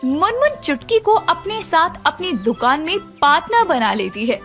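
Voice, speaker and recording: A woman's voice: extremely high pitch, very animated, normal speed, a bit bright, faint music behind. A woman narrating a scripted children's story.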